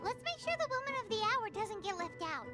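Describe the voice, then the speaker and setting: A woman's voice: very high voice, sing-song, a little fast. A woman voicing a tiny, childlike, chirpy and nosy fairy companion in an anime game dub. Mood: chirpy, curious. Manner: speaks of self in third person, exclaims. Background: playful music.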